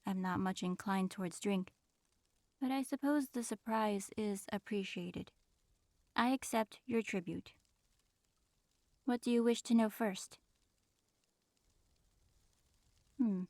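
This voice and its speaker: A woman playing an ancient, regal female dragon in human form, aloof and guarded, with formal, measured speech in an audio roleplay. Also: medium pitch, a little mellow, no room sound, natural voice. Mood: reserved, mildly intrigued. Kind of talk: audio roleplay, in-character monologue addressed to listener.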